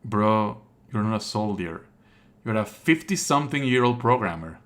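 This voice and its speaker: A man live-streaming casual commentary on a game playthrough, sardonic, exasperated and quick to swear. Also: on the low side, expressive, fairly bright tone.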